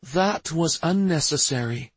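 Man voicing a sharp-tongued exasperated wife, pitched high. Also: lively intonation, a little slow, slightly rough, dry recording. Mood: exasperated, scolding. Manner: scolding, blunt.

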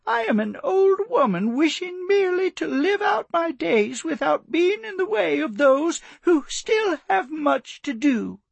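A man's voice: extremely high pitch, very animated, measured pace, vocal fry, few pauses, dry recording, voice pushed up, exaggerated voice. A man voicing an elderly, polite, grieving woman in a genteel, plaintive manner, in an audiobook story. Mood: flustered. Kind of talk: audiobook character voice.

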